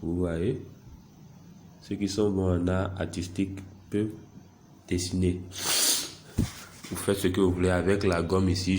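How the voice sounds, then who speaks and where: Man with a head cold, low and monotone, guiding viewers step by step; faint noise floor. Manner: unhurried, self-deprecating, narrates each click. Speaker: software tutorial presenter. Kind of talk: screen-recorded app tutorial.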